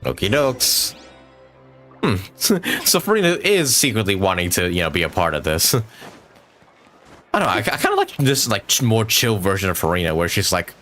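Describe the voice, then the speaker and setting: A man's voice: lowish voice, wildly swooping pitch, moderate pace, a bit bright. A man giving casual live gameplay commentary as a streamer, chatty and rambling, with a lot of "like". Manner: chatty, rambling, chuckles often, says like a lot. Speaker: game streamer. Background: game music.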